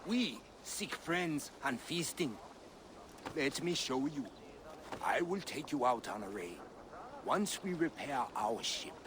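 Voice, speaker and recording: A hospitable Viking raider in a video game. His mid-range voice is persuasive and inviting, set against clearly audible background noise. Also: mid-range voice, lively intonation, takes it slowly. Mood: genial.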